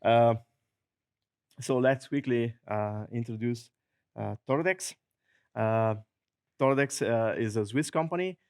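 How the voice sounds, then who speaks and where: A man with an Italian accent giving a technical conference talk, joking often, chuckling at his own jokes and filling pauses with many uhs. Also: medium pitch, smooth. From Italy. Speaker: conference presenter. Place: conference hall.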